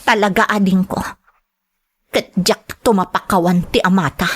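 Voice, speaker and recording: A woman's voice: mid-range voice, steady tone, moderate pace, slightly rough, close to an everyday voice. A woman playing a hurt, resentful young adult elder sister in a radio drama, serious and emotional. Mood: bitter, resentful.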